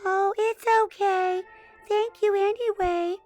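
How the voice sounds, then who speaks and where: A woman voicing a shy, hopeful young girl snake in a gentle, high, polite voice. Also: steady tone, takes it slowly, dry recording, soft background music. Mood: sweet hopeful.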